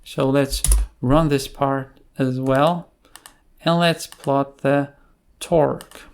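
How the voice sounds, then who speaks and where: Slow, creaky-voiced man explaining code. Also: mid-range voice, steady tone. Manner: hesitant, halting explanations with pauses. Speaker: tutorial presenter. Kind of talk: unscripted screencast coding tutorial.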